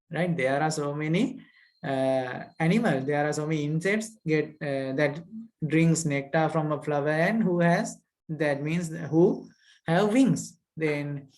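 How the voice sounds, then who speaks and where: A man's voice: fairly high pitch, a little mellow. A man with a Sri Lankan accent teaching an English lesson. He poses rhetorical questions, tags lines with "right?", and repeats his key points. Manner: asks rhetorical questions and adds "right?" checks. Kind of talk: spoken lesson, lecturing students.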